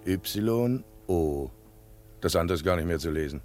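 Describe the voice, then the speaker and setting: A man's voice: low voice, lively intonation, unhurried, darkish tone, soft background music. An actor playing a cautious, disapproving local man in a German radio play, colloquial, earnest and grumbling. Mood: dismissive.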